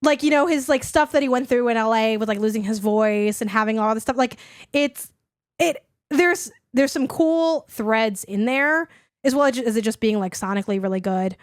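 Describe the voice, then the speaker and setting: A hoarse young woman co-hosting a podcast, chatting casually and rambling with lots of "like". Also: a little high, moderate pace, dry recording, clean studio sound. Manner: rambling, heavy use of "like". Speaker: podcast co-host. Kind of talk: casual chat.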